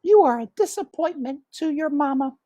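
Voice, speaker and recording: A woman's voice: high voice, animated, normal speed, mellow, no room sound, studio quality, pitched above the performer's own voice. A woman voicing a nagging, dramatic mother mouse in a storybook, pleading and guilt-tripping.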